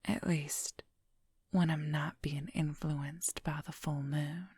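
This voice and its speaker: Breathy whispering possessive werewolf woman, acted by a woman. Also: deep voice, steady tone, takes it slowly, a little mellow, dry recording, studio quality, heavily acted. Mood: confiding, wistful. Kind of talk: whispered ASMR role-play, personal attention.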